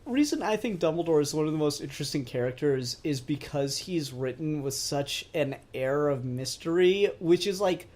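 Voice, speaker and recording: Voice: medium pitch, darkish tone. A podcast co-host giving opinions in a conversational way during a casual fandom discussion.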